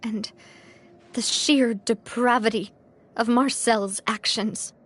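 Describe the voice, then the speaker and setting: A woman's voice: on the high side, fairly even intonation, slow pace. A woman voicing a game character, thoughtful and questioning. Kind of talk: game dialogue.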